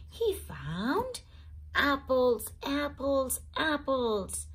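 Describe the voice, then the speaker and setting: A woman reading a children's picture book aloud as the channel's host, slowly and with clear stress on each word. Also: medium pitch. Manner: slow, clearly stressed storytelling.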